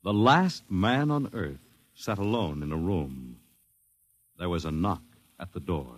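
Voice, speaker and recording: A man's voice: sits low, expressive, unhurried, very noisy. A man announcing and narrating a 1950s radio drama in a dramatic, portentous manner. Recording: vintage radio broadcast over loud music. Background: dramatic music.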